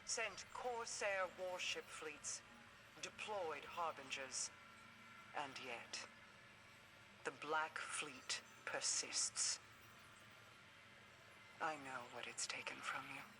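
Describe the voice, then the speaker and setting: Slow, solemn regal ruler. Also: male voice, on the high side, noisy background. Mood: solemn, remorseful. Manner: formal, deliberate, stately. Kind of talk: scripted video game cutscene monologue.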